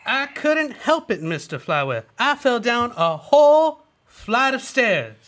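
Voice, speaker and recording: A wisecracking assistant, acted by a man, his voice sitting very high as he bends every word into a joke. He sounds quick and playful, straight out of an old radio comedy. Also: big pitch swings, a bit bright, full character voice. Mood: cheeky. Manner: quick, playful, twists words. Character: scheming wisecracking Italian immigrant assistant, man. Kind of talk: radio play acting.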